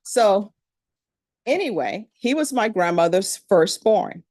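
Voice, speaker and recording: A woman's voice: medium pitch, expressive, takes it slowly, darkish tone, no room sound, clean studio sound. A middle-aged woman from Louisiana with a Louisiana accent, a judge speaking to a jury panel in a courtroom in a plain, conversational storytelling manner.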